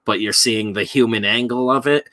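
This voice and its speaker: A man with a forceful, bright voice talks casually as a live stream co-host. He rambles through his thoughts with loose, profane phrasing, and the recording is dry and close, with little room sound. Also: mid-range voice, moderate pace, no echo. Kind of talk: casual chat about films.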